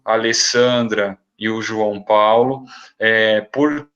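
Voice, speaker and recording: A man's voice: fairly low pitch, fairly even intonation, slow pace, fairly bright tone. A man organizing a research seminar, giving earnest, hesitant thanks on a video call. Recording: dull lo-fi video call audio.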